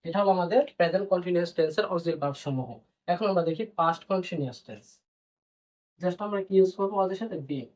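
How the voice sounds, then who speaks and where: A man's voice: sits high, quick pace, darkish tone. A man teaching a grammar lesson, repeating points and answering his own questions as he explains. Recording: dull, lo-fi band-limited sound.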